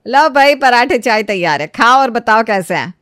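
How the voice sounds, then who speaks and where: A woman voicing a kind, motherly middle-aged aunty with a plaintive manner in an animated moral story. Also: a little high, moderate pace, smooth, no room sound, studio quality. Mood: warm, obliging.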